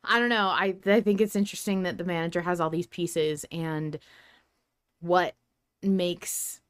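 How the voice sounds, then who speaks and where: A woman's voice: lowish voice, fairly even intonation, a little slow, clean tone, no room sound. A woman hosting a podcast, talking casually about a story with others. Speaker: podcast host.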